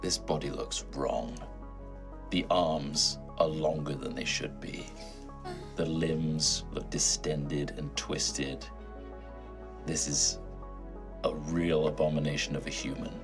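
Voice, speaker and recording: A man acting as game master, narrating an improvised horror game in descriptive, measured phrases. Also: very deep voice, fairly even intonation. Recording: clear music bed behind.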